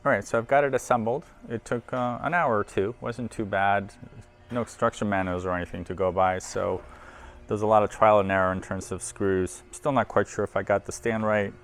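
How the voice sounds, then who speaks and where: A man's voice: on the low side, steady tone, a little fast. A man presenting audio equipment in a casual hands-on demo, informal and thinking aloud as he handles the machine.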